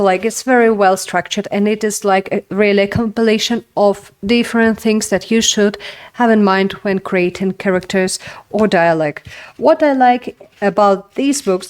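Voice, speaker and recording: A woman's voice: mid-range voice, unhurried. A young woman whose first language is Russian, hosting a casual talk-to-camera book review, speaking in a chatty way full of "like" and "you know". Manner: chatty, filler-heavy, frequent "like". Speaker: writing video host.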